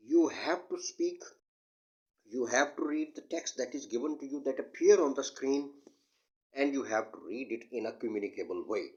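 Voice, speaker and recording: A man giving an explanatory lesson as an instructor, deliberate, emphatic and repetitive in his delivery. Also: fairly low pitch. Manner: didactic, deliberate, emphatic and repetitive, slowing down for English sentences. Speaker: English test instructor. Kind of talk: explanatory lesson mixing Urdu and English. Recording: dull, muffled lo-fi audio.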